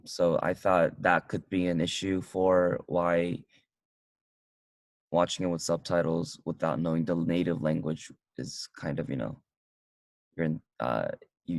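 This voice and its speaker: A man casually reviewing a film with a friend, talking in halting bursts with many restarts. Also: low-pitched, gravelly, a little mellow, no echo. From United States. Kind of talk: casual movie review chat. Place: car.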